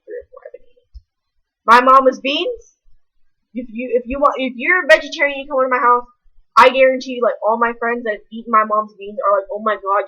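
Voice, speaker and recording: A woman's voice: on the high side, sharp tone, lo-fi sound. A young woman, ranting casually to camera in a blunt, rambling way full of swearing. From American South. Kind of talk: casual vlog rant.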